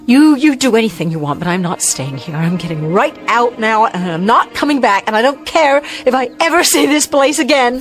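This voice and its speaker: A woman acting a scared, stubborn woman in a radio drama. Her highish voice rises and falls dramatically, anxious and argumentative, with music clearly playing behind her. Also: expressive, a little fast, few pauses, close to an everyday voice. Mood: defiant. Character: frightened, stubborn, argumentative civilian woman in hiding.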